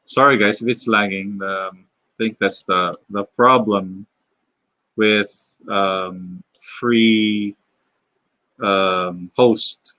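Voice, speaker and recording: A man from the Philippines with a Filipino accent, chatting casually on a live stream while drawing, halting, with many fillers and restarts. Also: fairly low pitch, fairly even intonation, pushed and bright, dry recording, poor audio. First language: Filipino (Tagalog). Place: home.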